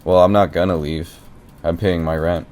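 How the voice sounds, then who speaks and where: A man's voice: low voice, fairly even intonation, moderate pace, darkish tone, lightly acted. A man playing a stubborn, clueless squatting tenant in an improvised prank phone call, rambling and argumentative with feigned naivety. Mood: defiant. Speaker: prank caller.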